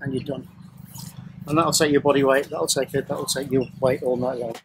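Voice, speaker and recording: A man's voice: medium pitch, steady tone, moderate pace, background noise. Middle-aged man demonstrating outdoors. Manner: casual, hesitant with many ums and restarts. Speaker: bushcraft show co-host. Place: outdoors in woodland by a tarp shelter.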